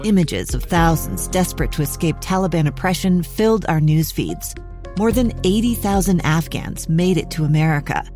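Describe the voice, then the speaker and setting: Female narrator, scripted promo, deep dark voice, faint music behind. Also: expressive, moderate pace.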